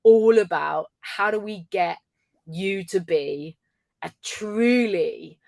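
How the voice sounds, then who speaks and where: Deep-voiced woman coach, dry close sound. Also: slow, creaky, no room sound. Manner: animated, laughs often, jumps up in pitch for quoted voices. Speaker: communication coach. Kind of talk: casual podcast chat.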